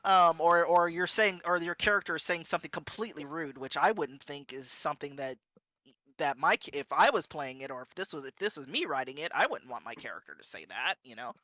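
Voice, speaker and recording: A woman streamer chatting casually as a panel guest, animated and jokey, acting out little quips as she talks. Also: low-pitched, brisk, no echo. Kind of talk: casual chat.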